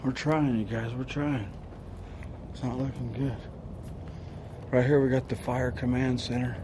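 A man filming himself exploring a closed casino at night, chatting casually with viewers in a hushed, curious way. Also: mid-range voice, level tone, a little slow. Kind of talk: casual running commentary. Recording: outdoor noise behind.

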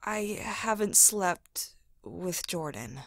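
A woman's voice: on the low side, lively intonation, drawn-out words, a bit bright, no echo, studio quality, exaggerated voice. A woman playing an anxious, hesitant young mother-to-be in an audio drama. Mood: uneasy.

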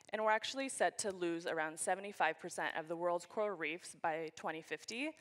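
A woman's voice: lowish voice, a bit bright. A young woman from Canada giving a keynote talk on a conference stage, speaking into a handheld microphone in a large hall.

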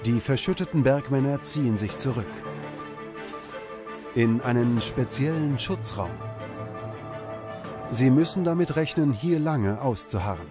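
A man reading scripted documentary narration in a measured, serious way. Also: mid-range voice, lively intonation. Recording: music under the narration. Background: background music.

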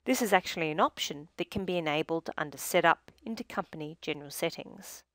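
Low-pitched woman narrating a software tutorial. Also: fairly quick, no room sound, studio quality.

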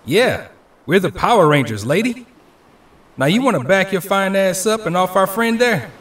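A man's voice: high-pitched, lively intonation, normal speed, fairly bright tone. An actor playing a brash, sarcastic young male hero in an audio drama, cocky and emphatic. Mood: indignant. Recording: noisy, distant from the mic.

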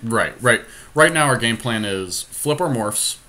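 A man's voice: mid-range voice, expressive, measured pace, bright, forceful tone. A man casually thinking aloud as he co-hosts commentary on an online card game. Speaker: podcast co-host playing an online card game. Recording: noticeable background noise, amateur home capture.

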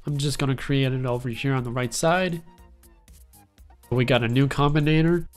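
A young man with a stuffy, congested voice explaining step by step how he builds a synth sound, in a relaxed, rambling way with lots of fillers. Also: medium pitch, moderate pace, soft background music. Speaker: music production tutorial host. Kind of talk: casual screen-recorded software tutorial.

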